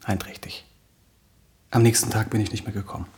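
A man's voice: lowish voice, little pitch movement, fairly quick, a little mellow, whispered, lightly acted. An actor playing a touchy, self-doubting male playwright in a radio play, with a dry, earnest manner.

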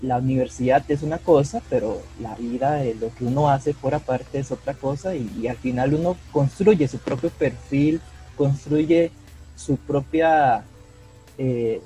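A man's voice: mid-range voice, mellow, poor audio. A young man with a Costa Rican Spanish accent co-hosting a podcast. He talks earnestly, often repeats words and keeps adding "¿verdad?". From Costa Rica. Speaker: podcast co-host, mycologist. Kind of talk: casual podcast chat.

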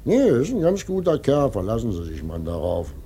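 Radio drama innkeeper: husky, mellow, chatty and sly, unbothered by anything. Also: male voice, medium pitch, wildly swooping pitch, moderate pace, exaggerated voice. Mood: soothing, wry. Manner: chatty, repeats himself, chuckling dryly. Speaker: actor. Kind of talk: German radio play acting.

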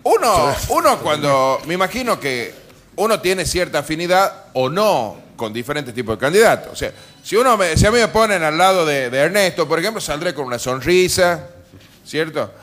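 An Argentine man hosts a casual comic radio talk show. His voice sits a little high and swings widely in pitch. He talks with an ironic, joking edge. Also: very animated, moderate pace, a bit bright. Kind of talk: casual comic political chat. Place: bar, live radio broadcast.

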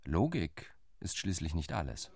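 A man's voice: deep voice, sing-song, measured pace, darkish tone, slightly rough, no echo, full character voice. An actor playing a shrewd, ironic male political envoy in a radio play, reporting his lobbying rounds.

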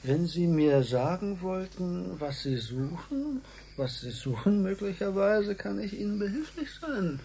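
A private detective in a radio drama. His voice is mellow and gravelly, and he talks in a dry, hesitant, politely stubborn way. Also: high voice, very animated, a little slow, a little hiss or hum, full character voice. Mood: wry, defiant. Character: wry, unhurried male private detective, politely defiant. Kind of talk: German radio drama acting.

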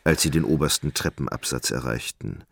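Very deep, gravelly male narrator. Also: brisk, fairly bright tone, dry recording. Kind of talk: literary scripted narration for a radio play.

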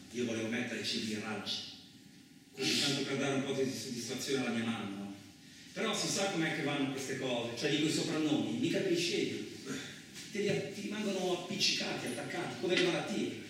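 A man's voice: medium pitch, expressive, brisk, close to an everyday voice. A male actor playing a boastful, rambling truck driver with touchy pride in a chatty, self-justifying radio monologue. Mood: chatty storytelling. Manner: chatty, self-justifying, punctuated with rhetorical asides. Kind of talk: theatrical radio monologue, colloquial storytelling. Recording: very echoey, distant, clearly noisy recording.

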